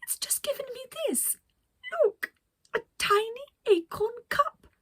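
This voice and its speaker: A woman voicing a cheerful, excitable lady pilot in a children's story, gushing and gasping with theatrical delight. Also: extremely high pitch, unhurried, pitched above the performer's own voice, exaggerated voice.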